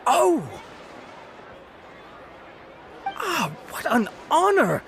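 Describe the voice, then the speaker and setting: An actor playing a suave, self-satisfied researcher in a video game, pitching with theatrical, grandiose oratory. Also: male voice, huge pitch swings, slow pace, vocal fry, a bit bright, slight background noise, heavily acted. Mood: eager, showy. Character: vain, suave male researcher and charismatic product pitchman. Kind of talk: scripted video game voice acting.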